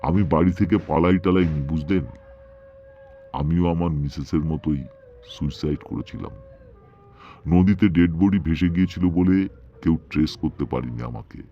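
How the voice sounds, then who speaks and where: A man's voice: extremely low pitch, moderate pace, pauses often, warm tone, dull audio, a little hiss or hum. A man voicing a sardonic, menacing male ghost in a horror audio story, with mocking, drawling politeness that can turn stern. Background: music.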